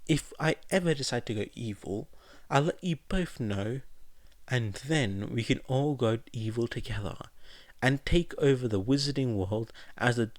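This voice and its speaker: A man's voice: mid-range voice, moderate pace, no room sound, natural voice. A man voicing a clever, bossy teenage witch girl in an audiobook, articulate, earnest and playfully wry. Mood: playful, melodramatic. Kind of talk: audiobook character dialogue.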